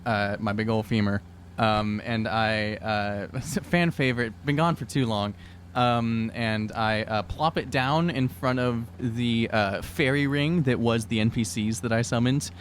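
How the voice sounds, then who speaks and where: A man's voice: mid-range voice, a little slow, slight background noise. A man playing a tabletop roleplaying game on a podcast, bantering casually and sarcastically, with some swearing.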